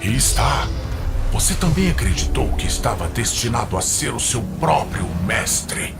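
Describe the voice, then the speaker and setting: A man playing a menacing, contemptuous demon lord in a fantasy audio drama, speaking in a slow, imperious manner. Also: medium pitch, very animated, creaky. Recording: loud dramatic music behind.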